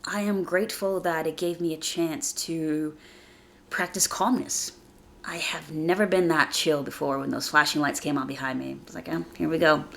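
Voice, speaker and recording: A woman hosting a casual motivational podcast, speaking conversationally and earnestly, slightly raspy from a chest cold. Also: low-pitched, fairly even intonation, normal speed, a bit bright. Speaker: podcast host.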